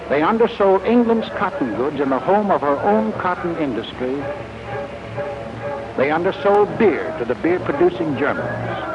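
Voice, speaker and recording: An American man narrates a 1940s wartime propaganda film in a fairly high, declamatory voice. His delivery is scripted and emphatic. The sound is a dull vintage film track with music clearly behind him.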